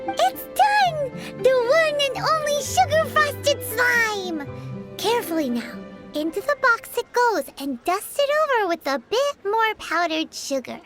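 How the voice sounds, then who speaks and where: A little floating fairy girl from a video game, excitable and greedy. The voice is extremely high, squeaky and childlike, leaping around in bubbly exclamations over loud music. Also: unhurried, heavily acted. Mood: delighted. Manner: bubbly, exclamatory, refers to self in third person. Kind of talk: animated video game voice acting.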